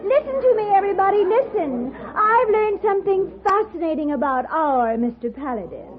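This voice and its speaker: High-voiced, taunting tipsy socialite. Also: female voice, expressive, moderate pace. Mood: gleeful taunting. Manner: taunting, theatrical. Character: tipsy, spiteful young socialite daughter. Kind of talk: 1950s radio western acting. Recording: tinny old radio, party crowd behind.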